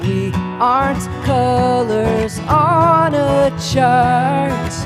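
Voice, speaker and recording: Slow-singing woman over loud guitar. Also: sits high. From New Jersey. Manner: slow sung phrases with repeated refrains. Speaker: singer-songwriter. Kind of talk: live acoustic song with guitar.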